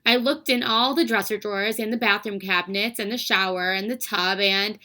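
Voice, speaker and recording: Flustered storybook mother, fussy and raised pitch, as a reader puts her on. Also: fairly even intonation, moderate pace, sharp tone, close to an everyday voice.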